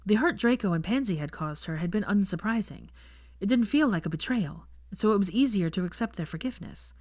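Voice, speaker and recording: A woman's voice: mid-range voice, lively intonation, a little fast, a little mellow, dry recording, clean studio sound. A woman narrating a fan fiction audiobook, reading scripted prose as a storyteller.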